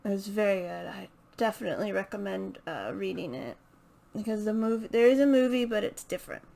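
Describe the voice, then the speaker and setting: A woman's voice: mid-range voice, animated, brisk, gravelly, warm tone. A woman chatting casually and unscripted as the host of a video channel, hesitant and thinking aloud as she goes. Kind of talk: casual unscripted book chat to camera.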